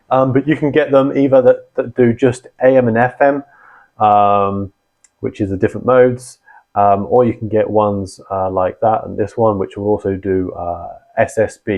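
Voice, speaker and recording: A man's voice: lowish voice, highs cut off. A man hosting a hobby channel, explaining things casually and informally in a rambling way, repeating points for emphasis. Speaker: host of a radio hobby channel. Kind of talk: casual explainer talk to viewers.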